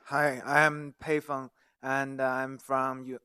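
A man giving a brief, halting self-introduction. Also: medium pitch, little pitch movement, noisy background. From Beijing, China. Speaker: participant introducing himself.

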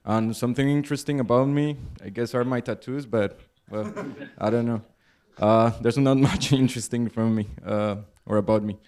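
A man's voice: medium pitch, fairly even intonation, normal speed, few pauses, a little mellow. A man from Colombia introduces himself in a hesitant, self-effacing way. He plays down his own story and lets his sentences trail off. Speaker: new graduate student.

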